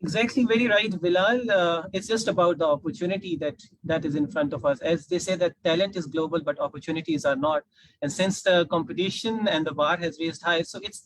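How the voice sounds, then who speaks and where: A man's voice: fairly high pitch, no room sound, poor audio. A man with a Pakistani accent moderating an online panel discussion.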